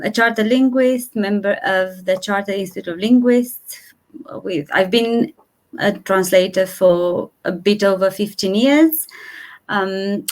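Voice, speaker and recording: A woman whose first language is Romanian, introducing herself in a friendly, measured way on a video call panel. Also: medium pitch. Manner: friendly, measured, some fillers. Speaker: translation agency owner, guest. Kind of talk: casual panel discussion on a call.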